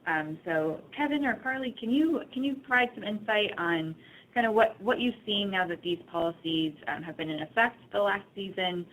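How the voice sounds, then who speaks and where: A woman's voice: medium pitch, lively intonation, normal speed, phone-quality sound. A woman moderating a webinar question session, polite and hesitant with many ums.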